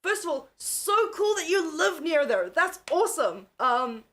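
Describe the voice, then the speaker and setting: A woman's voice: high-pitched, sing-song, a little slow, fairly bright tone. A young woman from South Africa with a mixed South African accent, chatting casually and giggling with viewers as a tired livestream host. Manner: giggly, chatty, swears freely. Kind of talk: casual live chat with viewers.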